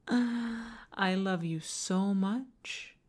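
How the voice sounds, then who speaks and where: A woman playing an obsessive older female boss in an intimate audio roleplay, coaxing with condescending sweetness and slipping into breathy half-whispers. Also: medium pitch, drawn-out words, darkish tone. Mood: possessive, obsessive.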